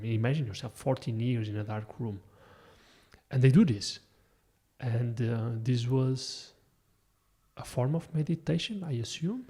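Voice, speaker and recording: Gravelly, mellow-voiced male podcast host. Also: mid-range voice. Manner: musing, rambling, self-correcting. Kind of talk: casual interview talk.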